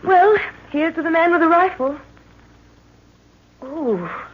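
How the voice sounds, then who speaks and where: An actress playing a professor's plucky but shaken young daughter, melodramatic and genteel, in an old-time radio drama. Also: female voice, very high voice, expressive, slow pace, heavily acted. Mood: weary, plucky. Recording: dull, noisy vintage radio recording.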